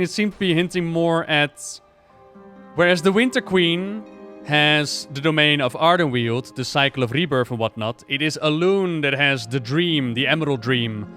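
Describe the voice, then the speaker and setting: Expressive man reacting aloud, higher-pitched voice. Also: moderate pace, faint music behind. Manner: expressive, exclamatory, thinking aloud. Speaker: video game reaction host. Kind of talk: casual excited reaction commentary.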